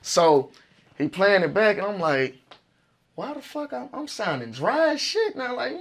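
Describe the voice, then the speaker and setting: Raspy young man, animated and profane. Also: high-pitched, unhurried. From Arkansas, US. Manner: animated, profane storytelling with slang. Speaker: music artist guest. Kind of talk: casual interview chat.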